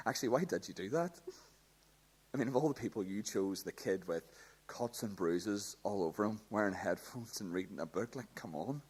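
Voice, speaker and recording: An actor playing a tender, teasing teenage boy in love in a radio play, with a husky voice and a halting delivery. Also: fairly low pitch, expressive, darkish tone. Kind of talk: radio drama acting.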